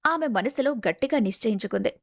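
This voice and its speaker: Higher-voiced woman narrating a story. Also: animated, quick pace, no room sound. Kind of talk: scripted dramatic story narration.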